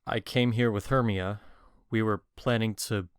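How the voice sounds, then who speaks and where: A man's voice: lowish voice, fairly even intonation, moderate pace, clear, resonant tone, no echo, clean studio sound, close to an everyday voice. A man playing a deferential, confused young lover in a Shakespeare audio drama, hesitant and apologetic.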